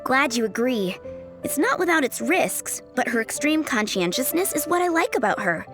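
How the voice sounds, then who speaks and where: A woman's voice: sits high, big pitch swings, moderate pace. A woman playing an earnest, polite young female admirer in a video game, gushing and devoted. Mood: resolved. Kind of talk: video game character acting. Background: music.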